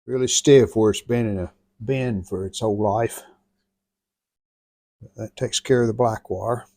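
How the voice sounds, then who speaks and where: A man's voice: on the low side, a little slow, warm tone, dry recording, studio quality. An American man narrating hands-on repair work in a folksy, plainspoken way, thinking aloud as he goes. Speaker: host of a radio restoration channel.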